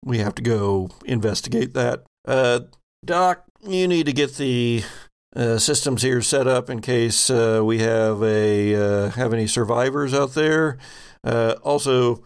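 Man playing a halting, businesslike ship captain. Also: medium pitch, smooth, close to an everyday voice. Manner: halting orders with many pauses. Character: salvage ship captain, male, steady, businesslike, hesitant.

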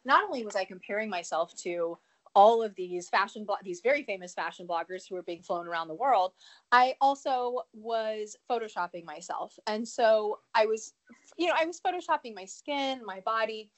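Woman, 30s, sniffly with a cold, earnest rambling guest, mid pitch. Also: lively intonation, moderate pace. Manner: earnest, with fillers and restarts, laughing at herself. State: has a cold, a bit sniffly. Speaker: podcast guest, speaker and educator. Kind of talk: conversational interview answer.

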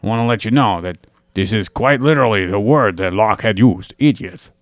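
Deep-voiced man discussing philosophy. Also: brisk, no room sound, studio quality. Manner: joking, then explaining at length. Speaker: podcast host.

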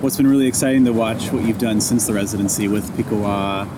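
A male interviewer with a somewhat low voice asks loose, encouraging questions at an easy pace. His words come over steady background noise. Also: fast talker. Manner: relaxed, encouraging, hedging questions. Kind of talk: conversational interview. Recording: noisy background.

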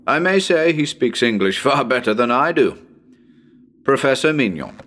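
A man reading as a genial, well-spoken radio presenter of a ghost hunt, with a measured, wry and formal manner. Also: medium pitch, lively intonation, fairly bright tone. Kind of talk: dramatic reading as live radio broadcast.

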